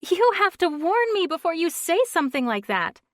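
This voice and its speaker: A woman voicing an excitable young woman friend chatting on the phone, gushing and teasing, in a dry, close reading. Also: high-pitched, normal speed, no echo, voice pushed up. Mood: excited.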